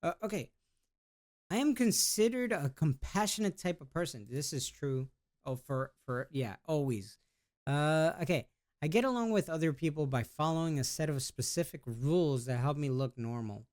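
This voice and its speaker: A man's voice: fairly high pitch, animated, normal speed, darkish tone. A man in a casual vlog, reading test questions aloud and answering them in a rambling, hesitant way, with laid-back slangy talk. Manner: rambling and hesitant, with many restarts and trailing off. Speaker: YouTuber.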